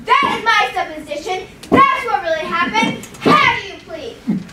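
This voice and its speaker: A cunning fairytale prosecutor acted out by a woman with a very high, wildly swooping voice. She interrogates theatrically and with great emphasis, heard across an echoey, noisy stage. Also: expressive, a little slow. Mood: accusing. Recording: echoey stage from far off, loud noise.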